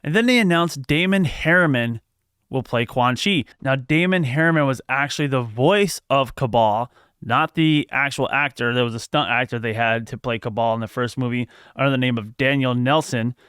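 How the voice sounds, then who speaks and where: A man's voice: medium pitch, normal speed, fairly bright tone, smooth, no room sound, clean studio sound. A man hosting a video, giving casual news commentary with opinions and frequent conversational asides.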